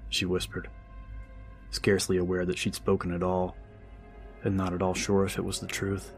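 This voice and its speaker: A man's voice: low-pitched, completely flat delivery, normal speed. A man narrating a scripted horror story as an audiobook narrator. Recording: music under the voice. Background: music.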